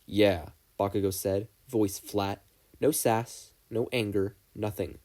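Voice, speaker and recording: A man's voice: lowish voice, fairly even intonation, measured pace, mellow. A man narrating a story as a reader in a scripted audiobook style. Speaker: podfic narrator.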